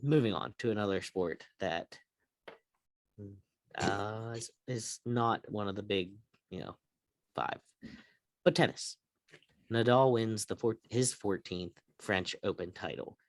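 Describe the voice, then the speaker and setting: A man's voice: lowish voice, slow, long pauses, dry recording. A man hosting a casual sports talk show, chatting and putting questions to his co-hosts. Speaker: sports podcast host.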